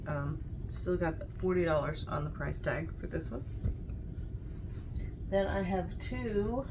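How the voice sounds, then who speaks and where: A woman's voice: low-pitched, background noise. A woman in her thirties chatting casually to camera in a small room, recovering from a cold so her voice is a little off, rambling with frequent ums. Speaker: crafter showing market stock.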